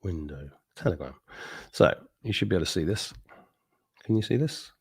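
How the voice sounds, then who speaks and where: A man's voice: sits low, lively intonation, moderate pace, vocal fry, a little mellow, breathy edge. A middle-aged man hosting a live stream, chatting casually with viewers, joking, laughing often and drifting into rambling asides. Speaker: host.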